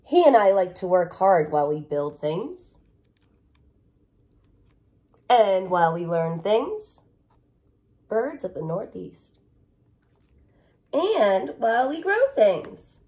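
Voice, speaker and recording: A woman in her thirties reading a children's picture book aloud like a teacher, expressive, with chatty asides straight to young listeners. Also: medium pitch, slow. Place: living room at home, indoors.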